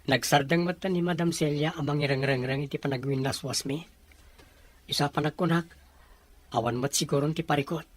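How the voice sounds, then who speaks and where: A man's voice: on the high side, fairly even intonation, brisk, close to an everyday voice. A man playing a respectful young groom-to-be in an audio drama, with an Ilocano accent. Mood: hopeful, respectful. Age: young adult.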